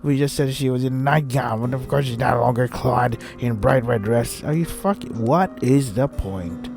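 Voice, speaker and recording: A man's voice: mid-range voice, moderate pace, creaky, music in the background. A man reading a visual novel aloud and commenting on it in an irritated, sarcastic way with heavy swearing. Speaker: let's play host.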